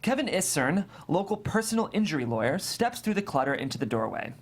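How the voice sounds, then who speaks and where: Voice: mid-range voice, big pitch swings, brisk. A narrator reading screenplay stage directions in a matter-of-fact, descriptive way.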